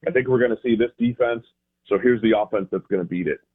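A man's voice: fairly low pitch, quick pace, slightly rough. An American man giving casual, conversational football analysis as a radio guest, often rephrasing as he explains. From Boston area, United States. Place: remote call into a radio show. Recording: thin phone-like guest line.

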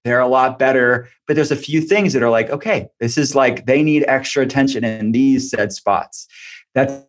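Male podcast guest, medium pitch, informal expert explainer in relaxed conversational phrasing. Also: normal speed. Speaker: functional medicine doctor, podcast guest.

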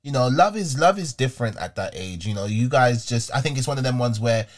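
Animated British man with very lively intonation. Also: medium pitch, fairly quick. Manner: animated, repeats words for emphasis. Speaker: podcast co-host. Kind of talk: casual chat.

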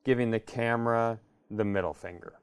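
A man's voice: fairly low pitch, brisk, clean tone, no echo. Man telling a comic story, dry sound. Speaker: comedy podcast co-host.

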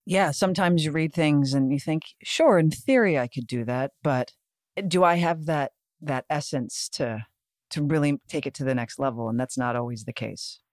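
A woman's voice: sits low, moderate pace, darkish tone, no echo, studio quality. A woman co-hosting a podcast in casual chat, teasing and agreeable. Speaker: podcast co-host, actress.

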